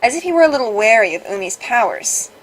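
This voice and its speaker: A woman's voice: fairly high pitch, sing-song, fast talker, slight background noise, low-quality recording. A woman reading visual novel dialogue aloud as a let's play host, playful and casual in her delivery. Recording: music behind.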